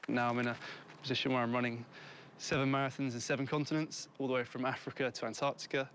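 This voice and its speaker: Quick-talking young British man outdoors. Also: medium pitch, little pitch movement, fairly bright tone. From Britain. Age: 20s. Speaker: explorer and charity marathon runner. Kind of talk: interview remarks. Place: outdoors at a wildlife center. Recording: clear outdoor location noise.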